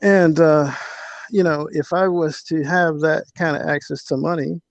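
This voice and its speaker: A middle-aged man talking casually and reflectively as an interview guest, with halting phrasing, on lo-fi call audio. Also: fairly high pitch, fairly even intonation, no echo.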